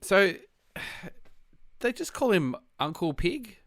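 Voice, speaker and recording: A man's voice: high voice, big pitch swings, slow pace, fairly bright tone, no room sound. An Australian man hosting a podcast in casual chat, laughing easily and thinking aloud as he goes. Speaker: podcast host.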